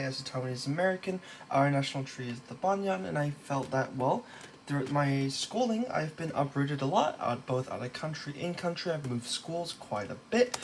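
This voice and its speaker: Young male student presenter, slightly high and gravelly, casual and halting, faint room hiss. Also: rough recording. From Los Angeles, California. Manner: casual, halting with many fillers and restarts. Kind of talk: recorded class presentation.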